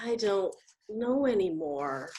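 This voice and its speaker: A woman's voice: mid-range voice, animated, slow, dark tone. A woman acting an anxious, apologetic activist in a stage comedy over a video call, earnest and hesitant. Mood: sheepish, worried. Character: anxious, apologetic female activist with a strict wife.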